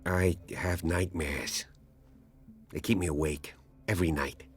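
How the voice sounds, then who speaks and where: A man's voice: low-pitched, steady tone, unhurried, faint music behind. An actor playing a troubled, sleepless, self-conscious male patient in a video game, speaking hesitantly and broodingly. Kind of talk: video game cutscene acting.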